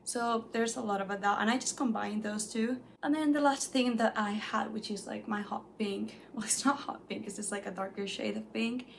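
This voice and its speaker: A young woman whose first language is Spanish, chatting casually about books she has read, animated and full of "like"s. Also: mid-range voice, moderate pace, gravelly, few pauses, slight background noise. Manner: chatty and animated, many "like"s, light laughs. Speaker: book reviewer. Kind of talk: casual chat to camera.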